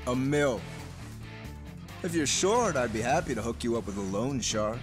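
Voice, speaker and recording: An actor playing a cocky young male high school gang leader in an English game dub, mocking, swaggering and slangy. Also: lively intonation, normal speed, lightly acted. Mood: smug, taunting. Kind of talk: English video game dub cutscene acting. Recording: music under the voice.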